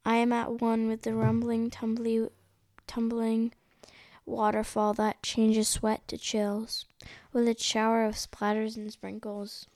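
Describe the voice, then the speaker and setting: A woman hosting a read-along, reading a picture book aloud in a halting way, repeating and stumbling over some words. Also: a little high, completely flat delivery, a little mellow.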